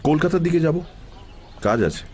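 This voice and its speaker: A man narrating a first-person horror story in a dramatic, suspenseful, expressive scripted style. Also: a little high, moderate pace. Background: prominent music.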